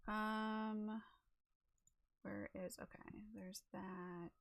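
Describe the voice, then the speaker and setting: Slightly raspy, gravelly chatty woman. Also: medium pitch, little pitch movement, drawn-out words, faint music behind. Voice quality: a little raspy. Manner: chatty and rambling, with many fillers. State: losing her voice. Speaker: livestreamer. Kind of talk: casual chat while setting up a stream. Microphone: desk microphone.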